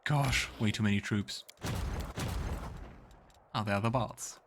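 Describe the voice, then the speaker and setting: A man's voice: on the low side, slow pace, noisy background. A man giving casual let's play commentary on a video game, musing aloud to himself as he goes. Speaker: streamer playing and commenting on a game.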